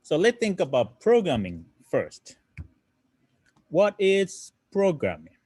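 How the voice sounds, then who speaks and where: A young man with a Japanese accent teaches beginners in English, his second language. His pitch rises and falls in a lively way as he explains slides and keeps asking "right?". The sound is dry and close. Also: slow pace, husky, no echo. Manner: explanatory, many "right?" tag questions and fillers. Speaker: programming instructor. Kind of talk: online lesson explaining slides.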